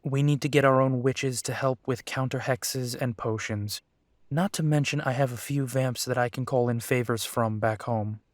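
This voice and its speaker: A man's voice: mid-range voice, fairly even intonation, moderate pace, no room sound, clean studio sound, natural voice. A man playing a young, protective and determined werewolf in an audio roleplay, speaking earnestly. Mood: serious, determined.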